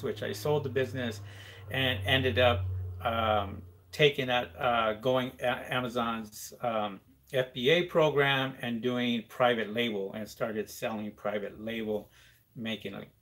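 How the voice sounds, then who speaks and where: A man's voice: mid-range voice, fairly bright tone. A man hosting a live question-and-answer stream, chatting casually with many fillers and reading viewer comments aloud.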